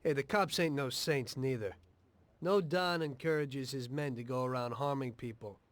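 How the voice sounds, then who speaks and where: An actor playing a weary, pragmatic middle-aged mobster in a video game, plainspoken and measured. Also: male voice, mid-range voice, no room sound, close to an everyday voice. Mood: defensive, insistent.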